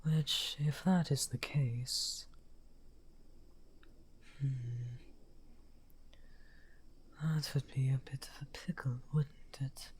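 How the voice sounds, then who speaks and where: A man playing a lonely, misunderstood evil wizard in an ASMR roleplay, speaking softly and courteously in a breathy, whispery, halting voice. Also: a little high, fairly even intonation, rough recording. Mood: musing, gentle. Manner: slow, halting, musing.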